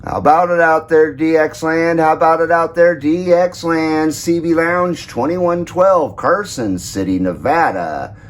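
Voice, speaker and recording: A man's voice: fairly high pitch, measured pace, few pauses. A man working a CB radio from his base station, stretching out his repeated calls and then pointing out the radio's features. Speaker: CB radio hobbyist. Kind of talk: calling out on CB radio and showing off a radio. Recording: loud radio static behind voice.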